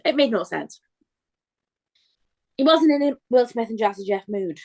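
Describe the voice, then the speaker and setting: A woman co-hosting a casual podcast chat, bubbly and quick to laugh. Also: a little high, lively intonation, a little mellow. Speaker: podcast co-host.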